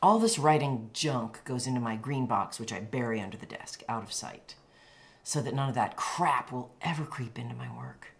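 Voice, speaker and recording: A very deep-voiced woman of letters, in a radio drama. She is blunt and imperious, with clipped, emphatic delivery and total self-assurance. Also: moderate pace, creaky. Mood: intense, self-assured. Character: blunt, imperious American woman, celebrated writer.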